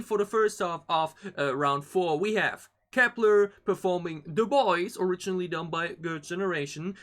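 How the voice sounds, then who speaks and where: A man hosting a reaction video, giving casual, enthusiastic commentary in an excitable, exclamatory way. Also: high-pitched. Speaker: YouTube reaction host. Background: music.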